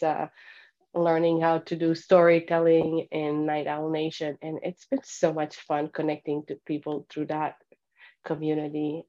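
A woman's voice: low-pitched, mellow, highs cut off. A woman hosting an interview, chatting in an upbeat, encouraging way. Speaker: podcast host. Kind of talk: casual interview chat.

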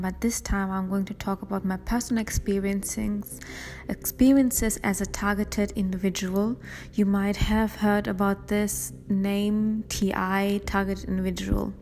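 A woman's voice: mid-range voice, a little mellow, big-room sound. A woman hosting her own show, reading a personal account aloud from handwritten notes in a careful, earnest way, sometimes restarting a phrase. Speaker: podcast host.

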